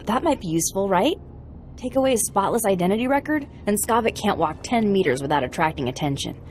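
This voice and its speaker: An actress playing a sardonic, defiant captive hacker in a video game, talking in a dry, sarcastic way. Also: female voice, medium pitch, sing-song, fast, a little mellow, slight background noise, lightly acted. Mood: eager bargaining. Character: sardonic enslaved female hacker, defiant and bargaining. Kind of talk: video game dialogue acting.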